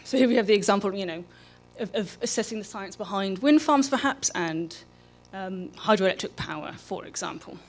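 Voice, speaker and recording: Female lecturer, informal and halting, interrupts herself, addressing a public event on a microphone. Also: mid-range voice, lively intonation, soft background music. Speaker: public programs manager and lecturer. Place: live public lecture at an aquarium. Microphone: microphone for live streaming.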